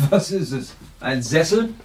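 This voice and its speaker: A man's voice: a little high, a little mellow, a little hiss or hum, full character voice. An actor playing a world-weary, sardonic flight instructor in a naturalistic radio play, laconic, mocking and fatalistic in his talk. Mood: amused.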